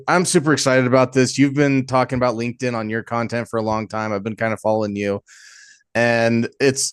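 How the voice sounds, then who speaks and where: Smooth-voiced upbeat podcast host man. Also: mid-range voice, no room sound, studio quality. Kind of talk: podcast host introduction and interview.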